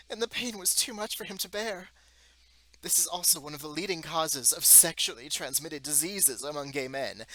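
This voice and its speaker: Bright, forceful man reading theatrically. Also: a little high, no room sound, low-quality recording. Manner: theatrical, melodramatic delivery swinging from hushed to shouted outrage. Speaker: reader. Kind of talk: mock-dramatic reading of an article.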